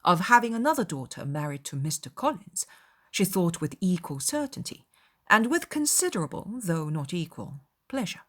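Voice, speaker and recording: A woman reading a classic novel as scripted audiobook narration, in a formal, measured storytelling manner. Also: fairly low pitch, very animated, fairly bright tone. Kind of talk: scripted literary narration, dramatized.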